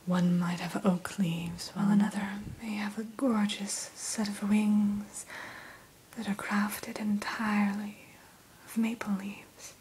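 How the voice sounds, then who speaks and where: A woman whispering softly and slowly into a close microphone, hosting an ASMR roleplay as a dragon hatchery keeper and telling the listener about each dragon. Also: mid-range voice, little pitch movement, slight background noise, rough recording. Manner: soft, slow unvoiced whisper; gentle, storytelling tone addressing the listener.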